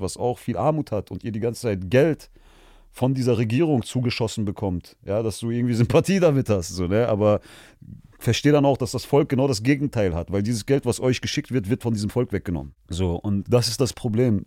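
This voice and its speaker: A man from Germany talks frankly as a podcast guest, getting heated and swearing without a second thought. His voice sits very low with a mellow, dark tone. The sound is close and dry. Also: no room sound. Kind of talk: candid conversational interview.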